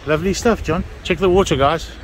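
A middle-aged man chatting excitedly to his viewers while fishing on an outdoor harbour pier, filmed on an action camera. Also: fairly high pitch, brisk. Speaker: fishing vlogger. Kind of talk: casual vlog narration. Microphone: handheld or body-worn action camera. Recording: loud wind and sea noise outdoors.

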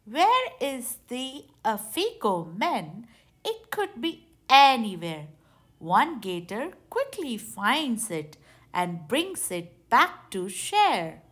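Woman reading a picture book, slow and lively. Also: on the high side. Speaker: children's storybook reader. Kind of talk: read-aloud picture book narration.

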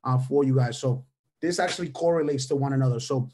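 A man's voice: medium pitch, fast, warm tone. A man in his thirties, a native Spanish speaker from Venezuela, gives a motivational mentoring webinar over a video call. He repeats his key phrases and keeps checking in with listeners by asking "right?". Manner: ends almost every point with a questioning "right?". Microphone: webcam or headset on a video call.

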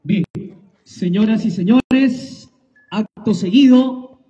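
A man serving as master of ceremonies, announcing a formal outdoor ceremony over loudspeakers in a slow, drawn-out way. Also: high-pitched. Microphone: public address system heard from a distance. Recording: distant, dull PA sound with music.

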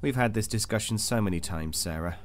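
An actor playing a cold, detached interrogator in an audio drama, formal, controlled and insistent. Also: male voice, fairly low pitch, brisk, close to an everyday voice. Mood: clinical, explaining. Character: cold, clinical male interrogator of a captive. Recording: music under the voice.

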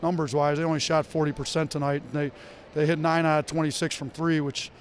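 A man in his thirties, a head basketball coach, answering post-game questions courtside in a steady, filler-heavy way into a handheld mic. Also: on the high side, a little fast, slight background noise. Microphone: reporter's handheld mic.

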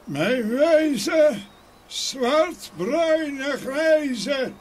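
A man voicing a solemn dead old father in an audio fairy tale, speaking in halting, drawn-out pronouncements. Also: very high voice, expressive, heavily acted. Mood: calling, commanding. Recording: noisy ambient sound behind voice.

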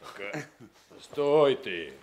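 An actor in a Soviet radio drama playing a gruff, servile police underling with a barking, clipped delivery. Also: male voice, mid-range voice, very animated, extremely slow, darkish tone. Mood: dutiful, servile. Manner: barking, clipped, deferential to his superior.

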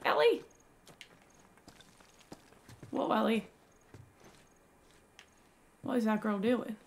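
Young woman streamer, gravelly raspy voice, excitable running commentary. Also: fairly high pitch, slow pace. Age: young adult. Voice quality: raspy from yelling. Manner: excitable, exclaiming at finds. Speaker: gaming YouTuber. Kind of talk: let's play commentary.

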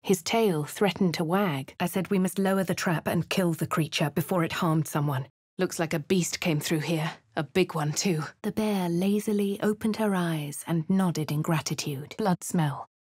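A posh, wry adventurer woman with an English accent, well-spoken and crisp. Her voice sits a little low and sounds close and dry in the studio. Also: normal speed, a little mellow, dry recording. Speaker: player character voice. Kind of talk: game voice lines and narration. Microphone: studio mic, dry.

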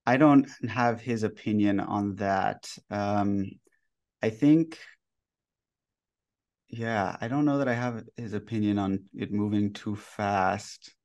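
A man's voice: fairly low pitch, slow, lo-fi sound. Young man chatting loosely on a video call. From United States. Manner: relaxed, loose, with many fillers and restarts. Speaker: guest author. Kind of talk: casual interview talk. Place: bright room at home.

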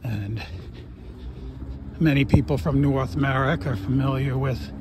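Brief: middle-aged Long Island man, unhurried rambling walk-and-talk, phone mic outdoors, traffic clearly audible. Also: mid-range voice, fairly even intonation. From Long Island, New York. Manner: slow, rambling reminiscing with fillers. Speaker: walking tour vlogger. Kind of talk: casual walking narration. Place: outdoors on a suburban street. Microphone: phone camera held while walking.

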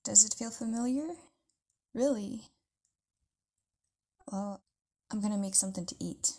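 A woman acts out a tender, protective partner in an intimate audio roleplay. Her voice is husky and breathy, with plenty of creak. She speaks softly and conversationally, hesitating and sometimes slipping toward a whisper. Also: medium pitch, unhurried. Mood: caring, casual. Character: caring, tender live-in partner of an amnesiac lover.

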